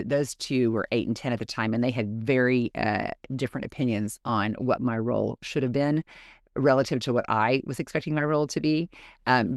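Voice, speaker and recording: A woman's voice: very deep voice, darkish tone, dry recording, clean studio sound. A woman and mother co-hosting a podcast in casual chat, frank and blunt, laughing easily. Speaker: podcast co-host.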